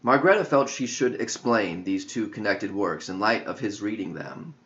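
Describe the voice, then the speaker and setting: A man reading a verse story as audiobook narration, with solemn, measured poetic phrasing. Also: on the low side, expressive, a bit bright. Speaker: author reading his own verse story. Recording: dull lo-fi audio.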